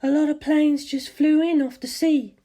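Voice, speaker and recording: A woman doing a gentle, worried mother. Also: sits high, fairly even intonation, normal speed, voice pushed up, natural voice. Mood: puzzled. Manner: soft, anxious, loving. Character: worried, gentle middle-aged mother on the phone.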